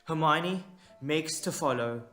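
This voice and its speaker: A man narrating a scripted audio drama in a measured, suspenseful manner, with deliberate dramatic pauses. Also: a little high, bright, forceful tone, faint music behind.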